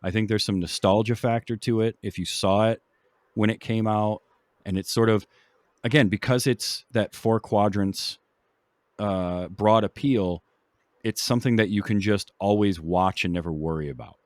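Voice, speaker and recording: A man's voice: fairly low pitch, normal speed, dry recording, clean studio sound. A man hosting a podcast, chatting casually about film in a rambling, enthusiastic way. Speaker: podcast host.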